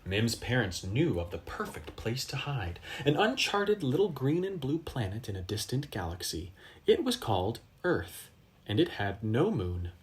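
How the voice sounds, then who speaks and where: A man reads a storybook aloud in a medium-pitched, very animated voice. He is dramatic and pauses for effect, over faint background noise. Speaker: storybook reader. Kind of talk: expressive children's story read-aloud.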